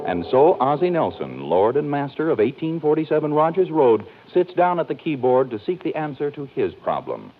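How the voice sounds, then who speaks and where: A man's voice: fairly high pitch, very animated, normal speed, few pauses, over music. A man with an American accent reading scripted 1940s radio announcements in a polished, emphatic way. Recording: tinny old radio broadcast recording.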